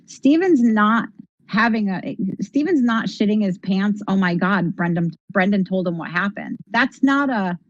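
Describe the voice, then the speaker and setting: A woman's voice: medium pitch, lively intonation, measured pace, no echo, dull audio. A woman co-hosting a podcast, giving conversational commentary on a case and making her points emphatically.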